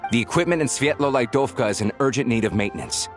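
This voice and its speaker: Actor voicing a hurried game subordinate, formal and quick. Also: male voice, mid-range voice, lively intonation, natural voice. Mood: urgent. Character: urgent young male subordinate soldier. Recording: game dialogue over music.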